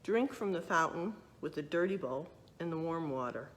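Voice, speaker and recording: A woman's voice: low-pitched, lively intonation, moderate pace. A woman reading a picture book aloud expressively, adding explanatory asides and questions for young listeners. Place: museum exhibit space.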